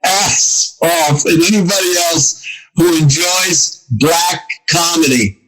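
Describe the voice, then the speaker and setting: A man guesting on a podcast as a comedian, talking in a rambling, halting way and sounding eager about what he likes. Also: on the high side, a crowd in the background, low-quality recording. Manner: rambling, halting with fillers, enthusiastic. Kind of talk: casual podcast chat.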